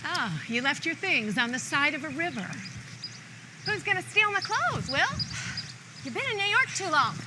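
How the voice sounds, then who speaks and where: A woman's voice: high voice, sing-song, moderate pace. An actress playing a teasing, folksy small-town woman in screen banter. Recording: noisy outdoor location sound.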